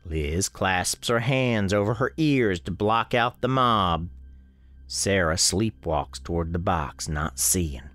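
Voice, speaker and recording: A man playing a grave, folksy storekeeper, narrating an eerie radio drama in a measured, ominous way. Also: deep voice, animated, a bit bright, no room sound, exaggerated voice.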